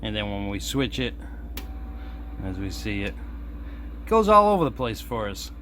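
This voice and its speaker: A man's voice: on the low side, measured pace. A man giving a casual hands-on demo, thinking aloud in an easygoing way as he presents his hobby project. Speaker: hobbyist presenter showing a radio modification. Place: indoors at a workbench. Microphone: camera on a tripod nearby. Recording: steady background noise, room ambience.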